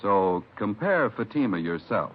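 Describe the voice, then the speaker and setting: A man reading a 1950s radio commercial as an announcer, with a measured, polished sales delivery. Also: lowish voice, big pitch swings, slight background noise. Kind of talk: 1950s radio cigarette ad read. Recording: dull vintage radio recording.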